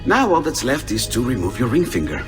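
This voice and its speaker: A man acting as an eccentric Renaissance inventor from a video game, Italian-accented and theatrical. His pitch swings wildly as he talks with playful eagerness. The voice rings in long reverb with music behind it. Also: brisk, close to an everyday voice. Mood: earnest. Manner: theatrical, expressive.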